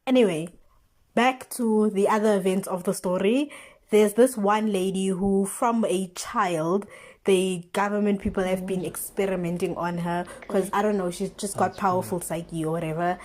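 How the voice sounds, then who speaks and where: Young South African woman, mid-pitched, casual vlogger storytelling, slangy and expressive. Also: moderate pace. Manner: animated slangy retelling with exclamations and rhetorical questions. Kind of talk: casual chatty storytelling commentary.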